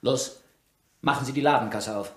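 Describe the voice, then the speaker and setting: An actor playing a shrewd, insistent police inspector who probes and presses a suspect in a radio crime drama. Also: male voice, mid-range voice, moderate pace, fairly bright tone, a little hiss or hum, natural voice. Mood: accusing. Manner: probing, pointed, pressing questions.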